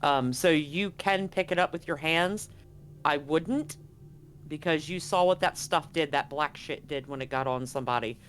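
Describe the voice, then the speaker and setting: A man's voice: a little high, normal speed, fairly bright tone, soft background music. A man acting as game master of a live-streamed tabletop game, explaining casually with some swearing.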